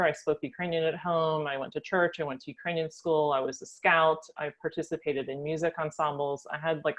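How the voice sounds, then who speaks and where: An American woman telling her family story as a guest, thoughtful with frequent pauses and ums. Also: deep voice, lo-fi sound. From United States. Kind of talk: reflective personal storytelling.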